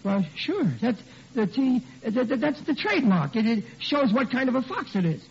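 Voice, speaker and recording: A blustering, sheepish American working stiff of a husband in a vintage radio sitcom. His voice is high for a man and he stumbles over his words. The recording is dull, with faint hiss. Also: big pitch swings, moderate pace, creaky, full character voice. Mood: flustered, blustering. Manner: flustered, stammering, malapropisms.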